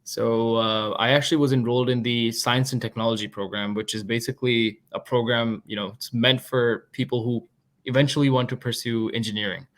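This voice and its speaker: A man in his twenties, a podcast guest and product manager, talking in a relaxed, chatty way. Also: medium pitch, a little fast. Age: 20s. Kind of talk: casual interview talk.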